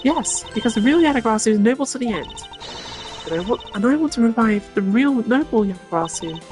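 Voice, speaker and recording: A woman voicing a spirited, idealistic teenage girl thief from a video game, with a perky, earnest, expressive delivery. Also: fairly high pitch, quick pace, lightly acted. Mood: earnest, pleading. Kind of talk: voiced reading of video game dialogue. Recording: game music behind the voice. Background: game music.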